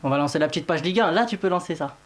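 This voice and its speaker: A man presents a football talk show at a rapid clip. He is informal with his panel, mixing topic introductions with teasing banter. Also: fairly high pitch, lively intonation, over loud music.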